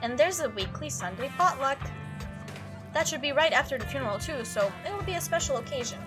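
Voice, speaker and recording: A woman's voice: sits high, animated, fairly quick, over music. A woman voicing a chatty, teasing young small-town woman in a visual novel, with a playful, folksy, rambling manner. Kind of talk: visual novel character read aloud.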